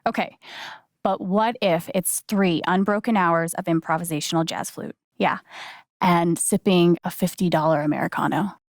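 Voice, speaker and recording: A woman's voice: fairly low pitch, steady tone, moderate pace, no room sound, clean studio sound, close to an everyday voice. A woman voicing a friendly, wry young newcomer in a video game, talking in a casual, naturalistic, dryly joking way. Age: young adult.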